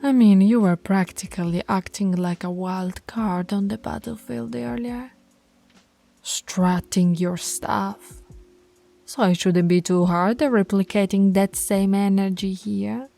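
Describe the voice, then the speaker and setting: A woman playing a dominant, teasing female alpha werewolf pack leader in an ASMR roleplay, commanding and often slipping into a breathy whisper. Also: medium pitch, steady tone, slow, mellow, faint music behind. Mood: stern, bossy.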